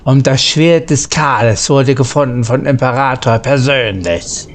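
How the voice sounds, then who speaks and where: Mid-pitched man role-playing a starship captain. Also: unhurried. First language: German. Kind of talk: in-character game role-play narration.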